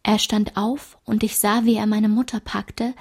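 An actress playing a woman who recounts a traumatic childhood memory in a measured, restrained literary radio play narration, at times dropping to a whisper. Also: mid-range voice, flat delivery, a little mellow, husky. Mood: sombre, tense.

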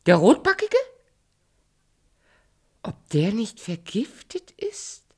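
A woman's voice: medium pitch, huge pitch swings, slow pace, husky, heavily acted. An actress playing a sly, evil queen posing as a fruit seller in a fairy-tale radio play, theatrical, wheedling and falsely caring. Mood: coaxing, sly.

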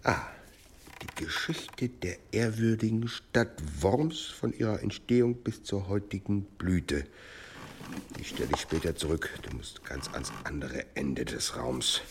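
Low-voiced, fussy monk librarian. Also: male voice, a little slow, a little hiss or hum. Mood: absorbed, muttering. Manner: muttering to himself, hesitant, apologetic. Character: fussy, bookish monk librarian, anxious and evasive. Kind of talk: German audio drama acting.

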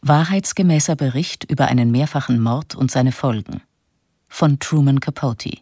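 A woman's voice: extremely low pitch, normal speed, mellow, slightly rough. A woman announcing the title and cast of a radio drama in a plain, matter-of-fact way. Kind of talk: reading title and cast list.

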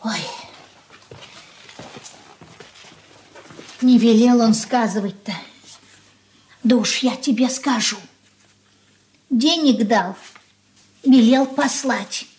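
Radio drama: anxious old merchant mother, highish voice, folksy, plaintive, confiding. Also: slow pace, pauses often, darkish tone. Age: older. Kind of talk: radio play acting.

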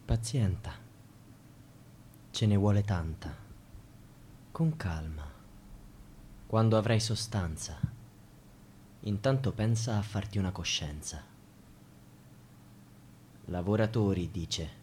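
An actor playing a bitter, defiant young student in a poetic radio theatre monologue, delivered in halting, declamatory phrases. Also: male voice, fairly low pitch, darkish tone, slight background noise. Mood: bitter, subdued. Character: anguished young student, bitter and defiant about precarious work. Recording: music under the voice. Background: music.

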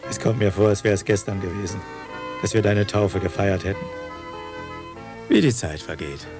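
A man's voice: fairly low pitch, normal speed, over music, lightly acted. An actor playing a resigned old countryman in a radio play, with a plain, homely way of talking. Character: old male village neighbour, resigned countryman. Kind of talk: German radio drama acting.

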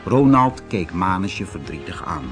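A man's voice: low voice, sing-song, a little slow, a bit bright, soft background music. A man narrating a scripted children's story as an audiobook reader.

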